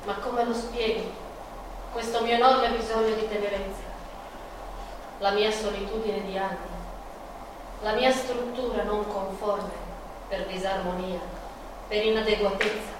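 An actress playing an anguished, defiant woman painter in a theatrical monologue, dramatic and shifting between hushed murmurs and cries. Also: medium pitch, steady tone, unhurried, pauses often, natural voice. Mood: weary, wistful. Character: Frida Kahlo, anguished, defiant, passionate woman painter in pain. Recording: echoey, distant, noisy stage recording.

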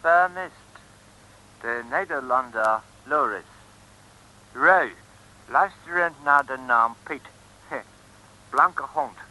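A man's voice: mid-range voice, animated, slow, long pauses, pushed and bright, full character voice, low-quality recording. An actor playing a prim male sidekick in an old radio comedy who mixes English phrases into his Dutch. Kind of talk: 1950s radio comedy acting.

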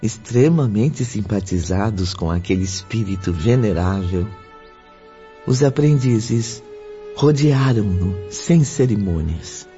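An actor playing a solemn storytelling narrator in a scripted radio drama, with a Brazilian accent. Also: male voice, fairly low pitch, animated, slow pace, dark tone. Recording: muffled audio with music.

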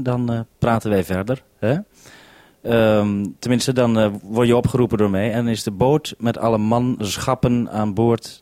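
A man's voice: mid-range voice, mellow, dry recording. Dry-sounding man running a radio link-up. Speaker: radio presenter at the base station. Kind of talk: casual radio-contact conversation.

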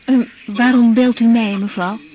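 An actress playing a young, patient and businesslike doctor on night duty, in a naturalistic Dutch radio drama from the eighties. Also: female voice, a little high, fairly even intonation, a little slow, crowd noise, natural voice. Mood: businesslike, concerned. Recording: noisy 1980s radio drama recording.

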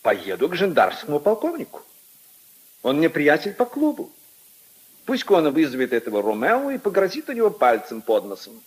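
An actor playing a haughty, indignant aristocratic prosecutor in a pompous, emphatic 1950s radio drama style. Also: male voice, fairly high pitch, huge pitch swings, normal speed, breathy edge, exaggerated voice, rough recording. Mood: brisk, confident. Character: haughty, indignant aristocratic assistant prosecutor, brother-in-law of a prince. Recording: 1950s archive radio recording.

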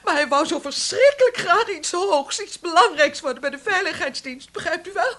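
A woman's voice: very high-pitched, expressive, normal speed, fairly bright tone. An actress playing an overwrought, sarcastic wife and desperate mother in a Dutch radio play. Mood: bitter, mocking. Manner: sarcastic, taunting, emotional.

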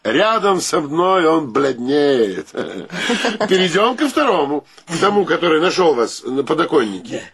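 A man in a radio play acting a boisterous, boastful bachelor who teases his friends and gallantly flatters ladies in grand, bantering phrases. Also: on the high side, unhurried, slightly rough, full character voice. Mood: teasing.

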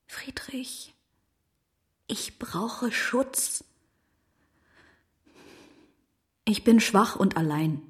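An actress playing a tender, troubled young woman in a radio drama, breathy and hushed with a hesitant, earnest manner. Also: medium pitch. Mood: fragile pleading. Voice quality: breathy, often near whisper. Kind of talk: literary radio drama acting.

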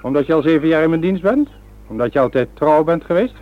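Cold, ruthless gentleman villain played by a man, muffled. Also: fairly high pitch, lively intonation, dry recording, close to an everyday voice. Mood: cold menace. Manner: imperious, self-justifying, coolly deliberate. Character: cold, ruthless upper-class villain, commanding and smooth-tongued. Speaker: actor in a radio play. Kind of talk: Dutch radio drama acting. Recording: muffled old radio play recording.

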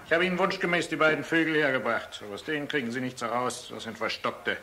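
Police commissioner, sharp mid-pitched voice, officious, sceptical, radio drama. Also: male voice, fast talker. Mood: officious, scornful. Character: hard-nosed Nazi-era police commissioner, sceptical and officious. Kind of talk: radio play acting.

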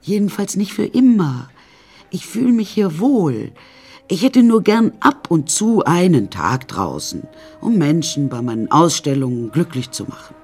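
A woman's voice: low voice, darkish tone. A vain, self-important woman addresses her listeners in a radio play. Her middle-aged voice swoops widely and theatrically, full of deluded grandeur, with some music in the recording. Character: vain, deluded woman artist confined in an asylum.

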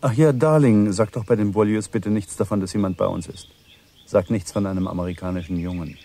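A man's voice: lowish voice, steady tone, a little fast, dark tone, close to an everyday voice. An actor playing an easygoing husband chatting familiarly with his wife in a German radio drama. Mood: casual, familiar. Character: smooth-mannered, casual husband talking to his wife.

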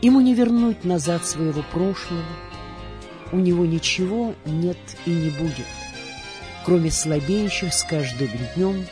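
Radio narrator, mid pitch, scripted literary narration, music playing behind.

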